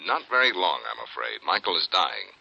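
An actor playing a mild, earnest male prison chaplain in a 1950s radio drama, gently persistent. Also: sits low, sing-song, brisk, creaky, no echo, dull audio, heavily acted. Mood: mild, grave. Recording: thin, band-limited vintage radio recording.

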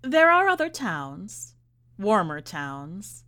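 A woman's voice: mid-range voice, big pitch swings, takes it slowly, sharp tone, heavily acted. A woman reader voicing a defiant, sardonic female clown with a cool, mocking manner in a story reading.

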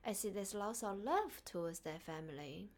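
A woman from China with a Chinese accent, speaking earnestly and softly as the host of a reflective solo podcast. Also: mid-range voice, animated, normal speed.

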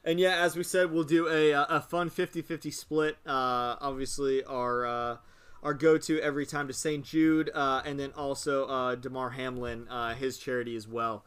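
A man's voice: mid-range voice, fairly even intonation, moderate pace, fairly bright tone, smooth. A young man hosting a casual sports-betting podcast chat, loose and joking, with lots of filler "uh"s. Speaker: podcast host running the picks.

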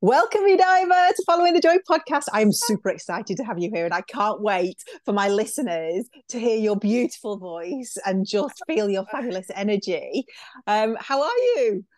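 A woman's voice: mid-range voice, animated, moderate pace, no echo. A woman hosting a podcast interview, chatty and enthusiastic, full of praise for her guest. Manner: gushing and enthusiastic, chatty with fillers. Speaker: podcast host. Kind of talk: casual interview chat.

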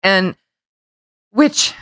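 A woman's voice: extremely slow, a bit bright, no echo. A middle-aged woman co-hosting a podcast, talking in a heated, rambling way with frequent restarts and swearing. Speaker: podcast co-host. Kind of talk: casual opinion chat.

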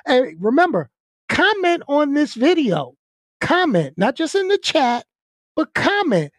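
A man's voice: very high voice, very animated, a little slow, fairly bright tone, no room sound, clean studio sound. A man from Brooklyn, hosting his own live stream, joking and chatting straight to his viewers. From Brooklyn, New York. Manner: joking, hyped, talks to viewers directly. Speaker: YouTube live stream host. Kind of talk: casual live stream outro chat.

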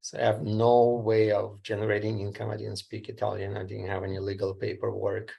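A man's voice: fairly low pitch, monotone, a little fast, gravelly, mellow, husky. A middle-aged man from Ukraine with a Ukrainian accent, telling his story as a guest in a plainspoken, slightly broken English. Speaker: guest entrepreneur. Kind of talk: casual interview storytelling.

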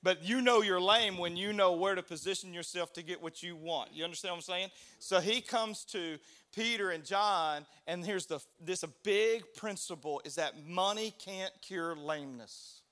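A man's voice: sits high, normal speed, sharp tone. A man preaching a sermon, in a conversational way, asking the crowd to respond. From United States. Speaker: pastor.

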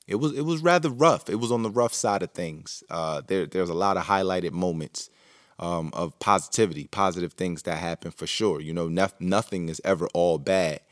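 A man's voice: low voice, no echo, studio quality. A man hosting his own podcast, talking alone in a rambling, reflective way with lots of fillers and restarts. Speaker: podcast host. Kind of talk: casual solo monologue.

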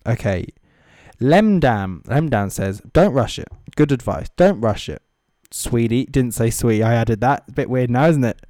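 A man's voice: medium pitch, expressive, a little slow, mellow, no echo, studio quality. A man giving casual, off-the-cuff comedic commentary as a host, with sarcastic, mocking asides. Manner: sweary. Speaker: comedy host reading social media comments.

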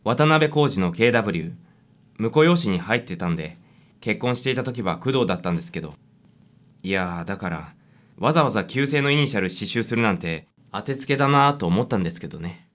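A man's voice: lowish voice, lively intonation, a little fast, fairly bright tone. A man playing a meek, flustered middle-aged divorced father in a radio drama. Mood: explaining, rueful.

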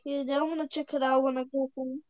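A woman's voice: high-pitched, little pitch movement, quick pace, darkish tone, dry recording. A young woman from Bulgaria chatting casually over her phone microphone, hesitant and repeating her words. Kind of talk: casual chat.

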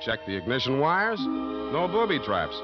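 An American actor playing a wry, cynical private detective who narrates his case in deadpan 1940s radio style. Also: medium pitch, measured pace. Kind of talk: 1940s radio detective acting, scripted first-person narration. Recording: dull old 1940s radio recording, loud music.

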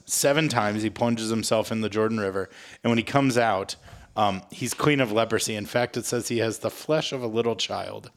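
A man's voice: medium pitch, a bit bright. A man co-hosting a podcast in a casual, jokey, self-mocking and informal way. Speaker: podcast co-host. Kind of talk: casual scripture recap chat.

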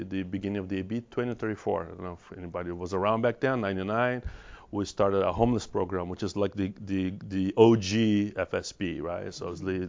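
Middle-aged man with a Brazilian accent, gravelly low voice, halting presenter, dull lo-fi recording. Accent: Brazilian Portuguese. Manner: halting, many restarts and repeated words. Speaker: regional director of mental health programs. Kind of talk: presentation to an audience. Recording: dull lo-fi sound.

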